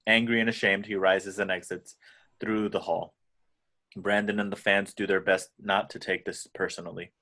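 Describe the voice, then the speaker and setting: Male narrator, fairly low pitch, reads screenplay action descriptions for an amateur table read. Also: level tone, moderate pace, sharp tone. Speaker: narrator reading stage directions.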